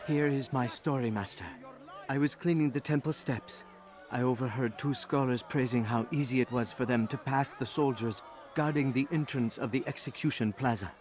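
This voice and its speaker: An actor playing a humble, eager informant in a video game, speaking deferentially and full of flattery. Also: male voice, mid-range voice, normal speed, natural voice. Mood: eager, flattering.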